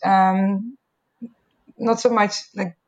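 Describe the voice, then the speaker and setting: A woman's voice: medium pitch, level tone, very slow, darkish tone, dull audio. Dutch-accented woman, hesitant guest. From Netherlands. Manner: hesitant, repeats words while thinking. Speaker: marketing executive guest. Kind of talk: conversational interview answers.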